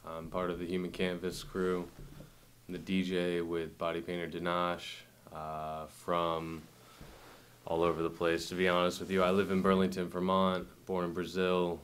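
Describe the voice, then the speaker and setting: A very low-voiced man in a relaxed documentary interview. He talks in a loose, rambling way, full of fillers and restarts, the tone of someone chatting easily about his work. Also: a little hiss or hum. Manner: laid-back, rambling with many fillers. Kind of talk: casual interview.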